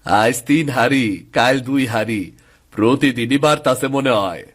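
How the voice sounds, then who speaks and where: A man's voice: medium pitch, normal speed, fairly bright tone, close to an everyday voice. A man playing a humble, devout village father and cattle farmer with a rural eastern Bengali accent, in a cartoon. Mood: grateful, proud. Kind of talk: cartoon dialogue. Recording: music clearly behind the voice.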